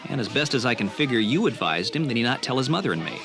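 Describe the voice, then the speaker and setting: A stern, concerned father, in a family radio drama, lectures quickly and firmly. His voice is mid-pitched, with busy outdoor crowd ambience behind it. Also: very animated. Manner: firm, emphatic, lecturing.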